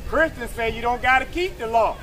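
High-pitched American man street preaching. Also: brisk, bright, forceful tone. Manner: confrontational, mocking, repetitive call-and-response. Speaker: street preacher leading readers. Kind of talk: impromptu outdoor street preaching. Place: outdoors at a waterfront park walkway. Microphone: camera several feet away.

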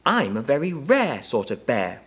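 A man's voice: a little high, sing-song, moderate pace, voice pushed up. A man voicing a small, polite, self-important young bear in a storybook, speaking in a small, precise voice. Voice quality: small, clear voice.

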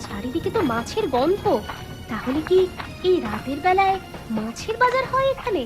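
A woman's voice: very high-pitched, big pitch swings, moderate pace. A woman playing a wronged, defiant wife in a cartoon melodrama, protesting with open emotion. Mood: puzzled. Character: aggrieved, defiant wife of a drunkard. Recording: music behind the voice.

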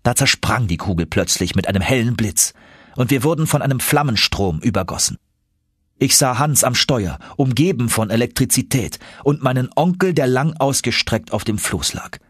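Husky-voiced man narrating a dramatic audiobook. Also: medium pitch, normal speed, dry recording, clean studio sound. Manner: expressive, suspenseful storytelling.

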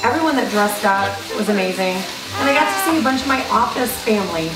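A woman in her forties hosting a cooking show, hoarse from a cold, chatting in a playful, teasing way in a home kitchen. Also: mid-range voice, expressive, fast. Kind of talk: casual kitchen chat. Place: home kitchen set, indoors. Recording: roomy, distant sound with background music.

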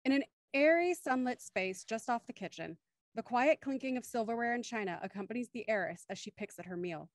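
A woman's voice: fairly high pitch, expressive, a little fast, no room sound, clean studio sound. A woman reading scripted scene narration for an audio drama. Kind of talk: scripted narration of stage directions.

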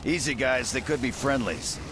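A man playing a gruff, commanding special forces squad leader in a video game, giving clipped orders. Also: mid-range voice, normal speed, noisy background, natural voice. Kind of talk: dramatic video game acting. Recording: music behind the voice.

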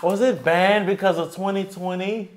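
A man's voice: high-pitched, fairly even intonation, moderate pace, faint music behind. A young adult man reacting casually to a video in short exclamations. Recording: loud show audio playing underneath.

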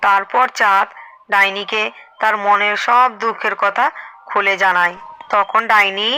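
A woman's voice: mid-range voice, steady tone, unhurried, sharp tone, clean tone, poor audio. A woman narrator reading a scripted children's story. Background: music.

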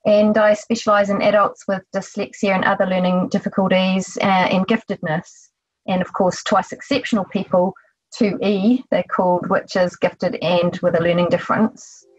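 A woman's voice: mid-range voice, little pitch movement, moderate pace, no room sound, dull audio. A middle-aged woman with a New Zealand accent, a therapist answering interview questions conversationally.

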